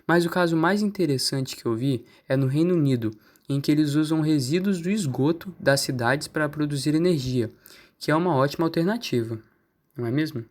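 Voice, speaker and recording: A man's voice: a little high, brisk, lightly acted. A man with a Brazilian accent playing a studious, curious student who explains things like a school presentation in an amateur radio play. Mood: earnest, explanatory. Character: studious, curious male student answering teacher. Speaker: student in class.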